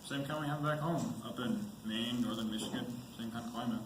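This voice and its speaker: A man's voice: brisk, natural voice. A man playing a young army soldier, weary and defiant, in an amateur stage play. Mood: weary, subdued. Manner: swings from low mumbling to strained shouting. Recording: echoey stage recording, faint background noise.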